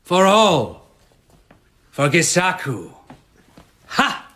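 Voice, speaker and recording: An actor playing an eccentric court performer and earnest mystic in a radio drama, speaking with weighty, declamatory solemnity. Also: male voice, high voice, huge pitch swings, very slow, heavy background noise, full character voice. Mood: theatrical, showy.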